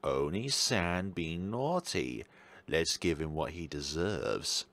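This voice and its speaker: Man playing creepy ghost girl, low pitch, hushed, taunting, playful menace, horror game. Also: lively intonation, takes it slowly, no echo, full character voice. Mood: menacing glee.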